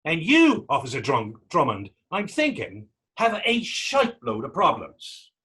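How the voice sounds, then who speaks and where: A man acts out a young Irish vagrant in a stage reading. His voice is rough-edged and fairly high, and it swings widely up and down. He sounds taunting, sarcastic and defiant, with theatrical dialect phrasing. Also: wildly swooping pitch, measured pace, pushed and bright, exaggerated voice. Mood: needling. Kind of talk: readers theater stage acting.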